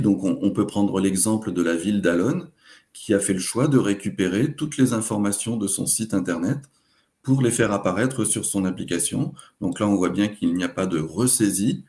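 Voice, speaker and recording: Low-voiced man presenting a webinar. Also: darkish tone. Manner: hesitant, explanatory, halting phrasing.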